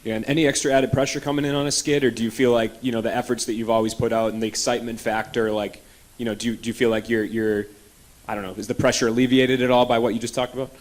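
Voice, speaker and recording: A man's voice: medium pitch, level tone, fast. A man asking a fighter questions at a media day, rambling and restarting as he goes. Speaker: reporter. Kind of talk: press questions.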